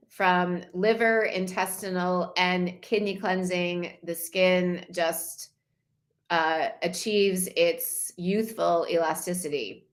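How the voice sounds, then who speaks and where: A woman's voice: mid-range voice, fairly bright tone. A woman in her fifties presenting before-and-after photos to an online group, enthusiastic and repeating her main points. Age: 50s. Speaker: wellness challenge host.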